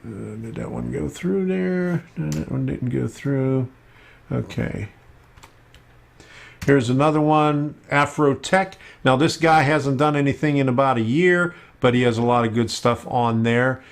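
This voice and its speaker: A man hosting a casual live stream about model railroading, talking slowly with many fillers as he works at his computer. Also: medium pitch, vocal fry. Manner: slow, unhurried, with many fillers while working on screen.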